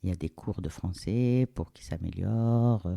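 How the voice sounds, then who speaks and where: A middle-aged woman from Algeria, speaking reflectively and pausing to search for words in a casual interview. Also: very deep voice, creaky, mellow, dry recording. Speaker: interviewee, the host's mother.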